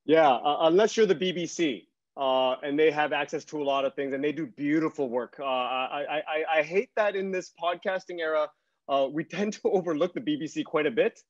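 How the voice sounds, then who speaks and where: A man's voice: on the high side, a little fast, no echo. A middle-aged man talking conversationally as a guest on a panel, reflective and hesitant, telling things through anecdotes. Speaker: guest writer and podcaster. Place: small bright home room. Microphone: video call, earbuds. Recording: dull, lo-fi video call audio.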